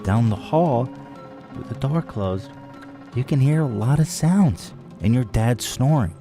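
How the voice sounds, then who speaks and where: A man hosting a podcast, telling a comic story in a dramatic way, dropping now and then into a hushed whisper. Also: mid-range voice, animated, measured pace, over music. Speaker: podcast host.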